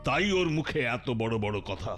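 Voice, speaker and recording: A dutiful cartoon henchman, voiced by a man with a mid-range voice. He rattles off reports to his boss in a quick, hurried way. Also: mid-range voice, animated, over music, natural voice. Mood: warning. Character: male henchman, dutiful and explanatory. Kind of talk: cartoon voice acting.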